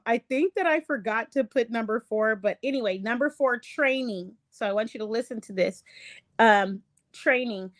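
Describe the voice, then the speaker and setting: A woman hosting an online lesson, reading from slides in a halting way with many ums and restarts; English is not her first language. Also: a little high, dry recording, studio quality. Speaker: online show host. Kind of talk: casual lecture reading from slides.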